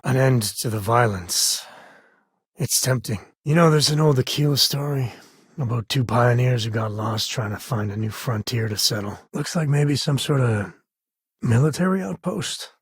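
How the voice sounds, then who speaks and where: A male actor playing an easygoing, wry and earnest spacefaring explorer in a video game, with a husky voice and casual, folksy delivery. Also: mid-range voice, animated, a little slow, no echo, clean studio sound, full character voice. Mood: reflective, earnest. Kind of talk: scripted game character dialogue.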